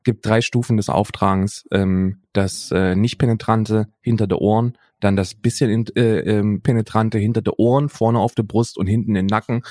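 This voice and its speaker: A man's voice: lowish voice, steady tone, moderate pace, few pauses, darkish tone, no echo, clean studio sound. A man in his thirties co-hosting a podcast, chatting in a joking, boastful, mock-serious way. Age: 30s. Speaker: podcast co-host. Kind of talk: joking casual chat.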